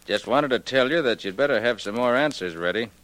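A man's voice: medium pitch, lively intonation, a little fast, fairly bright tone, dry recording, close to an everyday voice, rough recording. A man playing a cocky, needling crook with a tough, slangy manner in a 1940s radio drama. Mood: conspiratorial. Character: cocky, needling male crook posing as long-lost brother.

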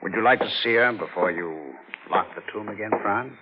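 An actor playing a businesslike, matter-of-fact man with a husky voice in a 1940s radio drama. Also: on the low side, a little fast, heavy background noise, close to an everyday voice. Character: matter-of-fact small-town man, businesslike acquaintance asking favors. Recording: dull old radio broadcast recording.

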